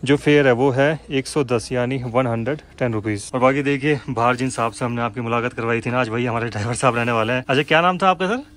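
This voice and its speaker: A man's voice: mid-range voice, quick pace, few pauses. A young man giving casual, enthusiastic travel vlog narration, chatting to his viewers from a bus. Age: young adult. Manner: enthusiastic, conversational, addressing viewers. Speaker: travel vlogger. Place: inside a moving city bus. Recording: loud vehicle and road noise.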